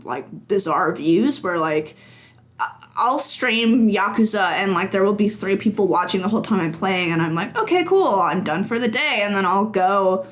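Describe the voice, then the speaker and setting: A woman in her early twenties, a podcast guest chatting casually and rambling, laughing often between her thoughts. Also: medium pitch. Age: early 20s. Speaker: podcast guest, games journalist. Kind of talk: casual chat.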